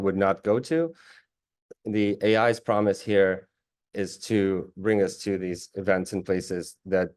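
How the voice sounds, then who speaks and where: Low-voiced man from Russia presenting his work. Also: darkish tone. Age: late 30s. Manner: thoughtful, with frequent restarts and fillers. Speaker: guest artist giving a talk.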